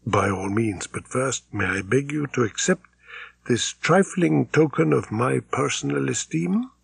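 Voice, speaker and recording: A man's voice: medium pitch, unhurried, creaky, husky, no room sound, full character voice. A man voicing a polite diplomatic envoy, formal, careful and deferential, in an audiobook. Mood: courteous.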